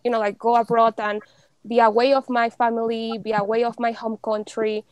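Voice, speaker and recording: A young woman from Mexico in casual conversation, chatty, with many fillers and an animated, often laughing delivery. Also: fairly high pitch, a little fast, clear, resonant tone, no echo. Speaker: podcast guest.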